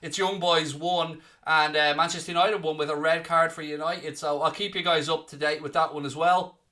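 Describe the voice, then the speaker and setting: A man's voice: fairly high pitch, fairly even intonation, moderate pace, sharp tone. An Irish man from southeast Ireland hosting a live football watchalong stream, bantering cheekily with the chat. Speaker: stand-in watchalong host. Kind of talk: casual live-stream chat reading the comments.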